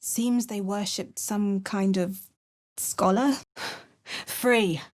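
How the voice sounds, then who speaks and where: A dry, wry female adventurer muttering short remarks in a put-on Cockney accent. Her pitch sits mid-range for a woman, and she sounds like a fantasy video game hero. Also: steady tone, extremely slow. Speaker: player character.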